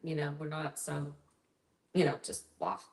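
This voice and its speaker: A woman's voice: deep voice, animated, a little mellow, echoey room. A woman with a slight Southern accent, outgoing and upbeat in casual conversation. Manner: upbeat, outgoing, quick to agree. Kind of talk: casual chat.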